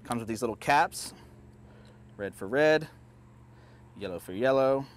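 A man's voice: medium pitch, lively intonation, unhurried. A man in his thirties hosting an unscripted how-to, talking step by step in an upbeat, plain way while working in a backyard. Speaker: host. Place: backyard outdoors beside a hot tub.

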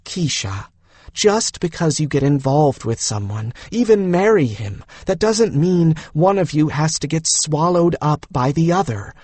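A man's voice: a little high, expressive, unhurried, darkish tone, no room sound. A man voicing a frank young woman in an audiobook, coaxing and lecturing insistently. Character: frank, coaxing young woman, exasperated older-sister-style adviser. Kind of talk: audiobook character dialogue.

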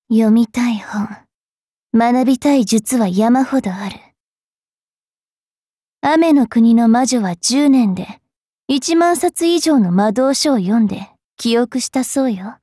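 A woman voicing a bratty, cruel young fairy witch in an anime game, haughty and taunting with a rough tongue. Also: a little high, lively intonation, slow pace, dark tone, no room sound, studio quality. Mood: stern, studious. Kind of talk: Japanese anime game character voice lines.

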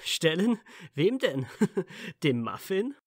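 A man playing a defensive, cheeky young wizard with a quick temper in a fan audio drama. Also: high voice, big pitch swings, no room sound, clean studio sound, heavily acted. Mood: cheeky, teasing. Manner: snappy, teasing.

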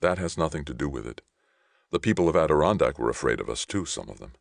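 A man's voice: very deep voice, big pitch swings, quick pace, vocal fry, dry recording, studio quality, exaggerated voice. A man voicing a troubled, earnest male war veteran in an audiobook. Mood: frustrated. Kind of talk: audiobook character dialogue.

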